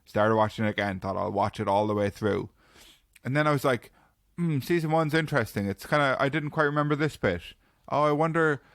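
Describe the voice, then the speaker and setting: A man's voice: medium pitch, a little fast, a bit bright, dry recording, clean studio sound. A young Irish man chatting casually with friends on a podcast, joking and laughing as he tells stories. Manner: jokey, banters with friends, laughs while telling stories. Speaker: podcast co-host. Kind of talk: casual chat.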